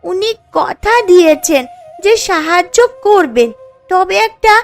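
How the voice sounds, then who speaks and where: Slow, high-voiced, troubled girl. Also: lively intonation, exaggerated voice. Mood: worried pleading. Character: troubled, pleading poor village girl. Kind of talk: cartoon story dialogue. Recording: music under the voice.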